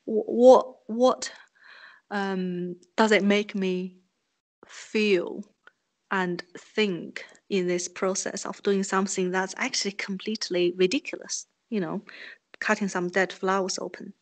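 A woman from China, a native Chinese speaker, answers interview questions slowly and thoughtfully. She hesitates often, filling pauses with ums as she searches for words, and her pitch sits in the middle range. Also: steady tone. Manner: hesitant, reflective, many ums. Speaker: guest artist. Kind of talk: interview conversation.

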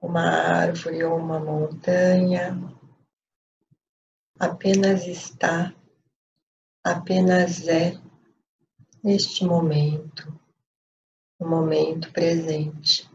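A woman with a Brazilian accent leading a guided meditation in a calm, unhurried way with pauses. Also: on the low side, vocal fry. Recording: dull lo-fi audio, faint noise.

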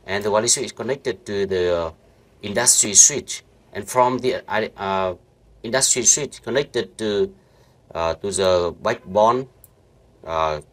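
A man with a low voice and guides viewers through a screen-recorded lesson. He talks slowly, piecing sentences together with frequent fillers and false starts. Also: fairly bright tone. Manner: halting, many fillers and restarts. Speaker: networking instructor. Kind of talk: screen-recorded software walkthrough.

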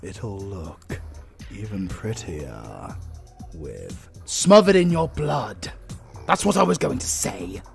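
A man's voice: fairly low pitch, very animated, creaky, soft background music, full character voice. A British man playing an arrogant, flirtatious supervillain in a romantic comedy audio roleplay, theatrical and easily exasperated. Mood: flustered, annoyed. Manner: theatrical, swinging from slow menace to exasperated outbursts. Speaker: voice actor.

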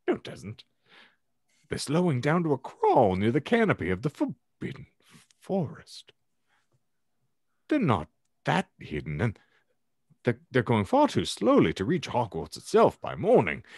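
A man reading fiction aloud in a theatrical, ornate storytelling style with dramatic pauses. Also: sits low, dry recording, studio quality. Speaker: podcast host reading aloud his own fan fiction. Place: indoor room at home, video call.

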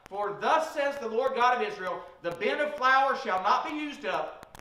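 A man's voice: extremely high pitch, animated, moderate pace, bright, forceful tone. A middle-aged man preaching a sermon at a pulpit in a small church, retelling Bible stories. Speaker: pastor. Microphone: room mic from a far camera. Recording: echoey church from far off.

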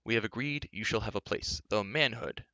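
A man voicing a grave, gentle older warrior mentor in a fantasy audiobook, speaking softly and with measured solemnity. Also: fairly low pitch, dry recording, highs cut off.

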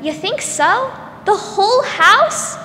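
A young woman playing an eager, excitable twelve-year-old girl in a 1940s-style radio drama on stage. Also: extremely high pitch, takes it slowly, heavily acted. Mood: eager, curious. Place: large theatre stage, indoors. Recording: echoey stage hall, faint noise.

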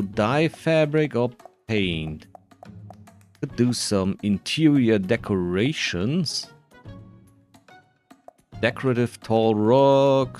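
A man's voice: fairly low pitch, a little mellow. A man doing casual playthrough commentary, musing to himself in a dry, self-deprecating way. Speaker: gaming video creator.

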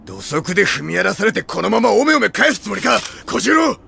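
An actor playing a brash, hot-blooded young samurai warlord in an anime audio drama, with a rough, swaggering, defiant delivery. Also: male voice, high-pitched, sing-song, brisk, bright, forceful tone, full character voice. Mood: furious. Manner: rough, swaggering, drops English words.

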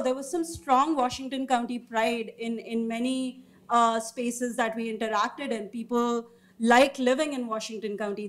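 A woman in her thirties giving a formal panel presentation as a researcher, with informal asides and hesitant pauses mid-sentence. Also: a little high. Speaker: researcher presenting report findings. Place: indoor meeting room or auditorium, seated at a panel table. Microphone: gooseneck table microphone.

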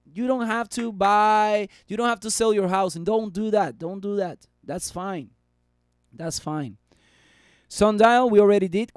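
Upbeat high-voiced man streaming stock charts. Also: darkish tone, no room sound, studio quality. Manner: upbeat, chatty, reads viewer comments aloud. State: losing his voice. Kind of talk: live stream chart commentary and chat replies. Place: small room at a desk.

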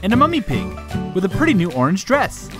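A woman's voice: mid-range voice, huge pitch swings, fast, music in the background. A woman narrating a toy unboxing for young children as the presenter.

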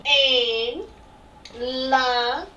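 Woman, Spanish tutor, slow and deliberate, fairly high voice; heard from a phone speaker. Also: fairly even intonation, sharp tone, echoey room, far from the mic, muffled recording, a little hiss or hum. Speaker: Spanish teacher. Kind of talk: online class over a phone.

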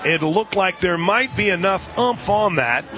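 A man calling softball play-by-play for radio in a conversational, folksy way, with asides between the calls. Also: sits high, animated, unhurried, faint music behind. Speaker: radio play-by-play sports announcer. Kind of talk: live softball commentary. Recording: crowd murmur behind broadcast voice. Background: outdoor stadium crowd.

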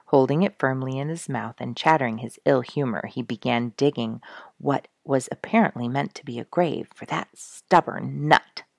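Middle-aged female story reader, husky with creaky edges, deliberate and emphatic. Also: very deep voice, dry recording, clean studio sound. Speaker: storyteller reading a book aloud. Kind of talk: expressive storybook narration. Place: small quiet room indoors, seated in an armchair.

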